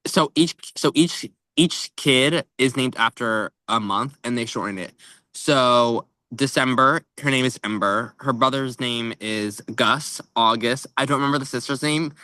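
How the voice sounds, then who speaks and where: A man with a bright, clear-edged voice co-hosts a book podcast. He talks casually and informally, feeling his way through phrases with plenty of "like". The sound is close and dry, at an average male pitch. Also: no room sound. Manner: informal, tentative phrasing with frequent "like". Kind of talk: casual chat about books.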